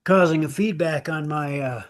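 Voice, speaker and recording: A man with a fairly high voice co-hosts a casual online radio show. He banters and jokes, chuckles often and calls out to listeners in an animated way. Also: normal speed. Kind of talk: casual joking livestream banter.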